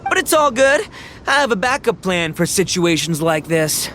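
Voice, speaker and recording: A cocky young oni gang leader for a video game, with a forceful, bright delivery. His pitch leaps around as he boasts in exuberant slang, over a faint bed of noise. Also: sing-song, normal speed, full character voice. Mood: earnest, determined. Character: brash, boastful young male oni gang boss, goofy and excitable. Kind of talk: animated video game voice acting.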